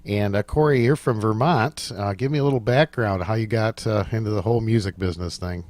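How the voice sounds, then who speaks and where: Deep-voiced male radio host. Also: brisk, a little mellow, no room sound. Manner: upbeat, friendly presenter patter with fillers. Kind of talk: radio interview hosting.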